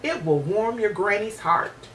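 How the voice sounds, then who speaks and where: A woman's voice: mid-range voice, animated, unhurried, natural voice. A woman voicing a sly, hungry male wolf in a storybook, falsely pleasant and coaxing. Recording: roomy, distant mic with hiss.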